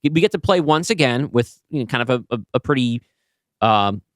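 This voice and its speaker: A middle-aged man co-hosts a casual creative discussion. His medium-pitched voice swings up and down with lively intonation, and the sound is dry and close. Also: moderate pace, no echo. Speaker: podcast co-host.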